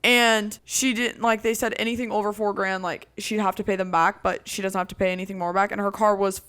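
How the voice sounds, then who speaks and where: A young woman co-hosting a podcast, telling a roommate story in casual chat with a loud, projecting voice and lots of "like". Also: medium pitch, fairly quick, clear, resonant tone. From Italian roots. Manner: animated storytelling with frequent "like". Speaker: podcast co-host.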